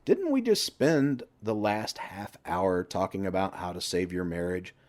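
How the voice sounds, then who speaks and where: A man's voice: low-pitched, sing-song, measured pace, a little mellow. A man voicing a crude, sarcastic middle-aged best friend who needles and teases in an audiobook story. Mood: sardonic teasing. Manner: sarcastic, teasing.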